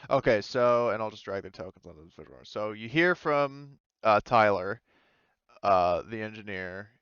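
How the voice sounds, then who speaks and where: A man's voice: on the low side, lively intonation, moderate pace, a bit bright, clear, resonant tone, no room sound, dull audio. A man casually narrating a tabletop roleplaying game as game master, speaking in hesitant, stop-start phrases.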